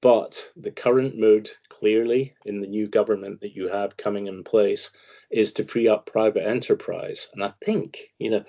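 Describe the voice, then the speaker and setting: A man's voice: lowish voice, steady tone, normal speed, creaky, mellow, dull audio. A man with a Scottish accent, a guest analyst giving emphatic, opinionated commentary in long explanations. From Scotland. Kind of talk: conversational interview commentary.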